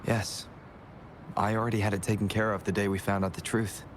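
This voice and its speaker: An actor playing a calm, helpful young wandering investigator in a video game, speaking matter-of-factly. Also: male voice, fairly low pitch, fairly quick, a little hiss or hum, close to an everyday voice. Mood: calm, assured.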